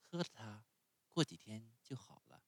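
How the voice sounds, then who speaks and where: Reader doing a gentle mom-like fairy, soft and quiet, sways around a lot. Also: medium pitch, sing-song, normal speed, no room sound, pitched above the performer's own voice. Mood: coaxing. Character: patient, motherly fairy caring for the puppet.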